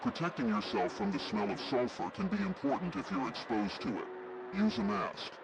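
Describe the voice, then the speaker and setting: A man plays a grave male emergency alert announcer. His delivery is official and measured, with wide swings in pitch and a gravelly edge. Loud noise runs behind the voice. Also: big pitch swings. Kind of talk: scripted emergency broadcast narration.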